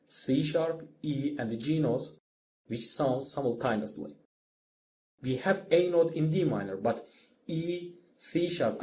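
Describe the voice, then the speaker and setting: Mellow-voiced man narrating a scripted lesson. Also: mid-range voice. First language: not English. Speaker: music orchestration instructor.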